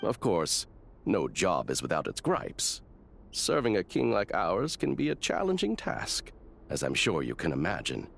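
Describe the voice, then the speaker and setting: Smug chief mage, low voice, highly animated intonation, diplomatic, pragmatic, fantasy game. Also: male voice, measured pace, gravelly, slight background noise, full character voice. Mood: smug, airy. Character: smug, pragmatic male royal chief mage and university president. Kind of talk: dramatic video game voice acting.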